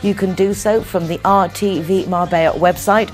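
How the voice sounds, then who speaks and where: A woman's voice: mid-range voice, fairly even intonation, normal speed, faint music behind. A woman hosting a local lifestyle programme, interviewing guests in an effusive, complimentary manner. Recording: busy crowd behind the voice. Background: event crowd chatter.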